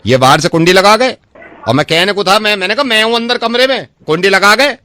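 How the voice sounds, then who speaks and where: A man's voice: high-pitched, fairly quick, pushed and bright, dry recording, pitched above the performer's own voice. A man playing an aggrieved, cheeky flower decorator on a prank call, complaining bluntly in colloquial speech.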